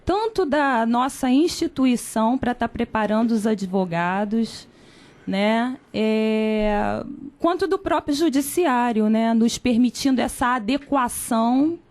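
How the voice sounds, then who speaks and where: Brazilian woman lawyer, slightly high voice. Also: expressive, a little mellow. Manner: measured, formal. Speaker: lawyer and candidate. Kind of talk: radio interview.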